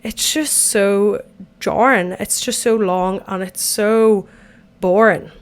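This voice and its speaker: A young Irish woman hosts her own podcast, chatting casually from an empty bathtub at home. Her voice is a bit croaky from a night out, and she rambles along in a self-deprecating way. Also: medium pitch, fairly even intonation, slow pace. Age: 20s. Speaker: podcast host. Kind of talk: casual solo podcast chat.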